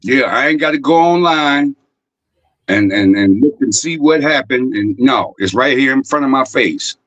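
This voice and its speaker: A man hosting a casual online chat, speaking in a rambling, halting way with many fillers. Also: mid-range voice, animated, fairly bright tone, no room sound. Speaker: host. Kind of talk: casual chat on a live stream.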